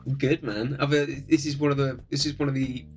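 A man with a soothing voice chats as a podcast guest, relaxed and gently humorous. His voice sits at an ordinary middle pitch. Faint music runs quietly behind him. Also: a little fast. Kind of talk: casual podcast chat.

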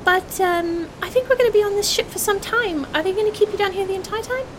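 Woman voicing a very high-pitched, polite small adventurer. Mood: polite, earnest. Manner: halting, courteous, stalling. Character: small polite earnest adventurer, nervously bluffing past guards. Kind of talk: in-character tabletop role-play. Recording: steady low background rumble and hiss.

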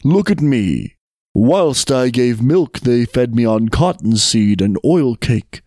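Man doing a slow, embittered old buffalo. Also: medium pitch, animated, warm tone, dry recording, clean studio sound. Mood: bitter, scornful. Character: embittered old water buffalo, scornful and weary. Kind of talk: storybook character reading.

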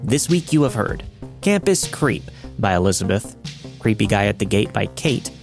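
A man's voice: on the low side, very animated, normal speed, vocal fry, soft background music. A man reading scripted narration as the host and storyteller of a horror podcast. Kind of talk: scripted narration of listener-submitted horror stories.

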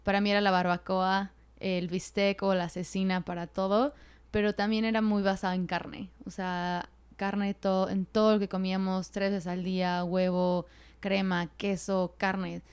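A young woman from Morelos, Mexico, hosting a casual podcast interview, chatty and quick to laugh, with lots of fillers. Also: mid-range voice, flat delivery, clear, resonant tone. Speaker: podcast host.

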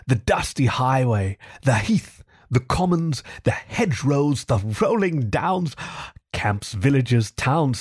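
A man voicing a boastful, excitable male toad from a storybook, with a pompous, gushing, theatrical manner. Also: medium pitch, dry recording, studio quality. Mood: boastful, excited. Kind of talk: storybook character acting.

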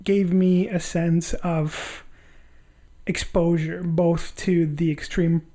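A man from Honduras, a podcast guest reflecting on his life in halting, conversational phrases. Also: on the high side, flat delivery, mellow. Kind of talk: reflective personal storytelling in conversation.

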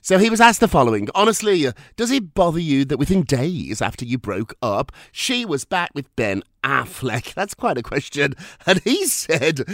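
A man's voice: a little high, no echo, clean studio sound. A man hosting a gossip podcast, chatty and theatrical, with a slight cold. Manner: chatty, laughs often, theatrical asides. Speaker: podcast host. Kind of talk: casual gossip chat.

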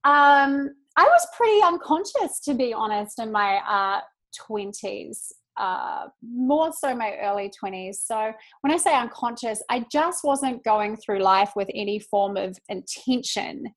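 A woman with a New Zealand accent talks with a slightly high voice in a relaxed podcast chat. She reflects out loud and sprinkles in fillers as she goes. She sounds like a woman in her thirties. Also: a little slow. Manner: reflective, chatty, many fillers. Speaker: podcast guest, psychologist. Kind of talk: casual podcast conversation.

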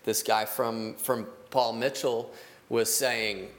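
A man's voice: mid-range voice, flat delivery, slow pace. A man hosting a podcast, chatting in an agreeable, conversational way. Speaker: podcast host. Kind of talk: casual interview chat.

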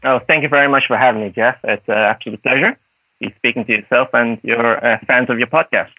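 A man in his thirties with a light, mixed Irish accent and a bright, forward voice, who grew up speaking Cantonese at home. He answers podcast questions in a loose, rambling way, full of "like" and "uh" fillers and frequent laughter. The sound is dry and close, with no room around it. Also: medium pitch, fast, no room sound, poor audio. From Dublin, Ireland. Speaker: guest, actor and martial artist. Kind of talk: casual podcast interview.

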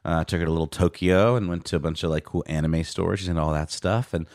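A man's voice: deep voice, steady tone, fairly quick, a little mellow, no room sound, studio quality. A man co-hosting a podcast in casual chat, his voice sleepy, rambling with fillers and restarts. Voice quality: sleepy-sounding voice. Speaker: podcast co-host.

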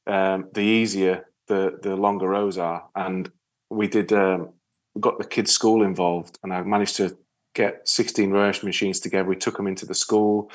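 A man from Yorkshire with a northern English accent, talking casually as an interview guest in a rambling, halting way with repeated words. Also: fairly low pitch. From Yorkshire, England. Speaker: interview guest, ocean rower and spearfisher. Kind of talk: casual conversational storytelling.

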